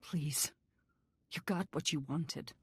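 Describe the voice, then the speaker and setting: A low-voiced, proud army general. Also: female voice, slight background noise. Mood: bitter, defiant. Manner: commanding, measured, stern. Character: hardened, proud female army general, unsentimental and defiant. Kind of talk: video game voice acting.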